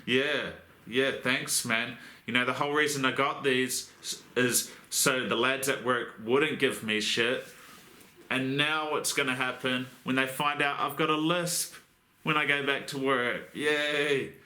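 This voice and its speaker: A man's voice: medium pitch, fairly even intonation, a little slow, sharp tone, reverberant. A young Australian man with a lisp from new braces, hosting a casual how-to vlog about crane rigging, joking and swearing in a blokey, rambling way. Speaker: crane rigger and channel host.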